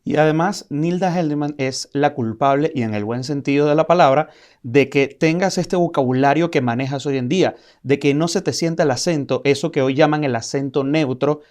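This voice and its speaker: A man's voice: on the high side, moderate pace, darkish tone. A man from Venezuela hosting an interview, asking questions and giving short affirmations. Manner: brief prompts and affirmations.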